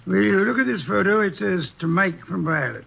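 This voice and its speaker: An actor playing a stout, commanding older male detective in a 1940s radio play, asking formal, measured questions, on a dull old radio recording. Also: high voice, animated, creaky, bright, forceful tone, breathy edge, full character voice. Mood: calm, probing.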